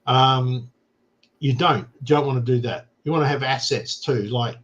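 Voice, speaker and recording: A man's voice: medium pitch, fairly even intonation, measured pace, a bit bright, lo-fi sound. An Australian man hosting a casual talk show, explaining things plainly and often checking in with "right?". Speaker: show host. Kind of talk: casual chat.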